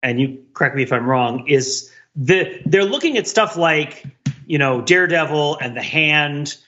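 Male podcast panelist, sharp and bright, discursive and intellectual, on a dull lo-fi track. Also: a little high, animated, measured pace. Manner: discursive, emphatic, intellectual vocabulary. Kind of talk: casual analytical discussion.

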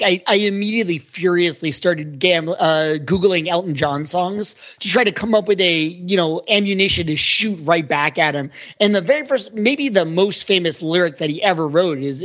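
A man's voice: high voice, expressive, normal speed, few pauses, fairly bright tone. A man co-hosting a podcast, talking casually and analytically about pop culture. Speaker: podcast co-host. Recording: slightly dull lo-fi audio.

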